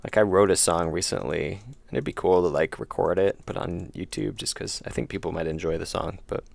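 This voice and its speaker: A man's voice: deep voice, fairly quick, vocal fry, no room sound. A young man streaming his indie game development, chatting casually with viewers and rambling through self-corrections while losing his voice and feeling slightly ill. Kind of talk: casual livestream chat answering chat.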